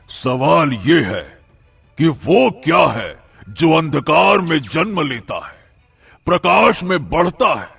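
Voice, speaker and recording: Gravelly, menacing shadow spirit. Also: male voice, fairly high pitch, fairly bright tone, no echo, heavily acted. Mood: gloating. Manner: menacing, drawn-out riddling delivery. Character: menacing dark shadow spirit, male, taunting kidnapper of children.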